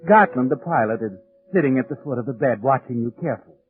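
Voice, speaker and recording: An actor playing a sinister, knowing narrator in a 1940s radio thriller, speaking in an insinuating, dramatic manner. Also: male voice, mid-range voice, fast talker, close to an everyday voice. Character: sinister, knowing narrator taunting the guilty man. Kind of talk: 1940s radio suspense narration in second person. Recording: tinny 1940s radio recording with music.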